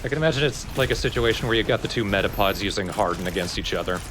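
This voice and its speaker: A man with a lowish voice joins a gaming commentary. He speaks casually and jokes along with the others, with slight noise in the background. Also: rapid-fire. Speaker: let's play co-commentator.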